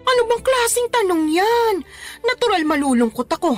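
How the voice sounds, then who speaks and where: A woman's voice: very high voice, very animated, moderate pace, soft background music. An actress playing a loving, teasing, plain-spoken older Filipino mother and grandmother in a radio drama, emphatic in her motherly advice. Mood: tender, emotional. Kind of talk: Tagalog radio drama acting.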